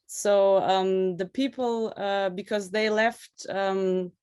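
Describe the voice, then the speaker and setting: A woman's voice: medium pitch, fairly even intonation, slow, a little mellow, clear, resonant tone. A woman from Russia, a film director, answering interview questions thoughtfully over a video call, searching for words as she explains. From Russia, raised in Ukraine.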